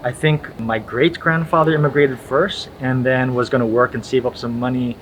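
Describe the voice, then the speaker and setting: A man's voice: mid-range voice, fairly even intonation, moderate pace, a crowd in the background. A young man from Hawaii hosting a casual conversation in an easygoing manner, laughing often. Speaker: host. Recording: noisy background.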